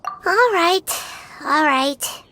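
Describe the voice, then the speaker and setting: Tiny excitable fairy sidekick, extremely high and swooping, unhurried, childish game voice. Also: female voice, full character voice. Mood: chatty. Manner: childish, refers to self in third person. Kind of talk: anime game voice acting.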